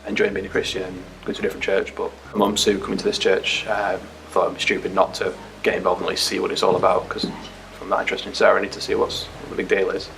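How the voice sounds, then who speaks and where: A man giving a relaxed, understated personal baptism testimony with frequent ums. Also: sits low, vocal fry, a little hiss or hum, rough recording.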